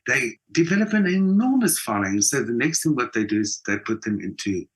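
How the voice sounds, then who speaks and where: A man's voice: mid-range voice, very animated, a little fast, husky. A South African man speaking emphatically as a conversational interview guest, repeating phrases for stress. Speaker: interview guest, theatre performer and mentor.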